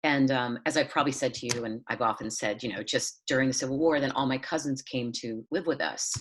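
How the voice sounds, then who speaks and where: A woman from Southern California, a playwright, musing and hedging in a relaxed chat on a video call. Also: very deep voice, brisk, slightly rough. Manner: musing, hedging, lots of fillers and restarts. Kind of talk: casual conversation over video call.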